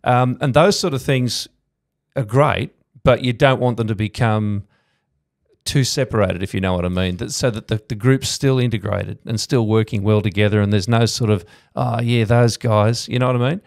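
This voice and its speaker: Gravelly middle-aged male podcast host. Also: on the low side, normal speed, no room sound, clean studio sound. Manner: rambling questions, many fillers. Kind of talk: podcast interview. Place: studio. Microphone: studio mic on boom arm.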